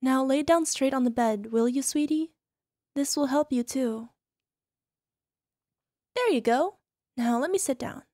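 High-pitched, sugary, possessive yandere wife acted by a woman. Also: measured pace, long pauses, mellow, no room sound, studio quality. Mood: tender, possessive. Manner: cooing, coaxing, sugary with controlling edge. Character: possessive, doting young yandere wife, sweetly menacing. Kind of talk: ASMR roleplay, speaking directly to listener.

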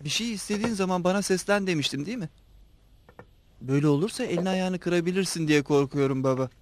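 An actor playing a caring young son in an animated film, anxious and gently scolding. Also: male voice, high voice, fast talker, slight background noise.

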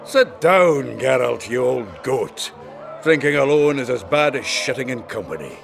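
A man's voice: medium pitch, big pitch swings, unhurried, vocal fry, music in the background. An actor playing a bawdy, good-humoured fantasy townsman in a video game, with an earthy, wry, chatty manner. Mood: wry, jovial. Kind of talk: video game character acting.

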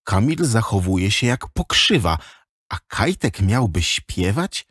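Husky low guy narrating a kids story, really animated, dry close sound. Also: slow pace, dry recording. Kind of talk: scripted storybook narration for children's audio drama.

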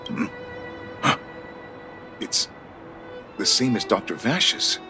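An actor playing a stern but fair guard captain in a video game, speaking formally and earnestly in measured lines. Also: male voice, lowish voice, sing-song, pushed and bright, faint music behind, full character voice. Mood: guarded, surprised. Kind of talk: scripted video game voice acting.

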